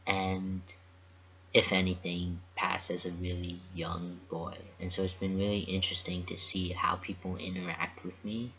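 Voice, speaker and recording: Low-voiced young man, dull lo-fi recording. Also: monotone, a bit bright, a little hiss or hum. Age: young adult. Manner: thoughtful, measured, with frequent pauses. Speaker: vlogger. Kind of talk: casual reflective vlog monologue.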